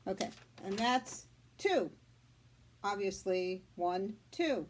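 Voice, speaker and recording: A woman doing a read-aloud for young children, explaining simply with deliberate emphasis, like a teacher. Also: mid-range voice, expressive, a little hiss or hum. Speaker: children's story reader, school media specialist.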